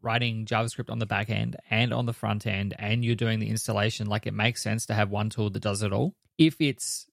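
Man, podcast co-host, gravelly voice, dry close mic, relaxed, thinking aloud. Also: on the low side, brisk, hardly any pauses, no echo. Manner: relaxed, thinking aloud with many "you know"s. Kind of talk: casual chat.

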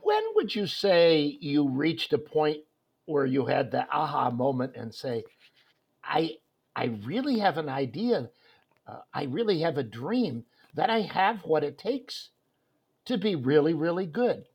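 A man's voice: a little high, lively intonation, a little slow, creaky, slightly rough, muffled recording. A man hosting a sports interview, talking in a rambling way with many fillers and restarts. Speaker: host.

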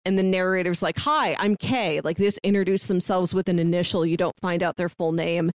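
A woman's voice: fairly low pitch, a little fast, dry recording. Chatty woman podcast co-host, dry mic. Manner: chatty, animated, lots of "like". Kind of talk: casual podcast chat. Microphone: remote call mic.

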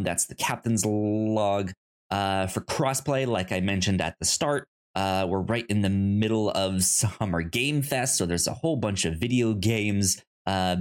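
A man hosting a podcast in a casual, chatty style, sometimes halting and repeating words as he searches for phrasing. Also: low-pitched. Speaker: podcast host.

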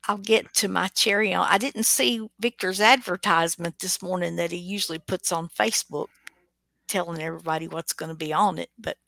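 A woman's voice: fairly low pitch, brisk, gravelly, bright, forceful tone, no room sound. A woman hosting a radio show in casual chat.

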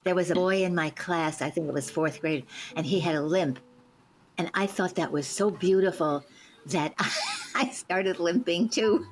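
A woman's voice: lowish voice, measured pace, rough recording. A woman casually telling a personal memory in a group discussion. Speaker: discussion participant. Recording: faint ambient background noise.

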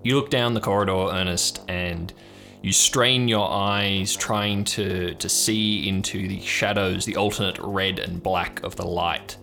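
A man's voice: low voice, fairly even intonation, measured pace, gravelly, sharp tone. A man running an improvised audio drama game as game master, narrating in a suspenseful, descriptive way. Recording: music playing behind the voice. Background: music.

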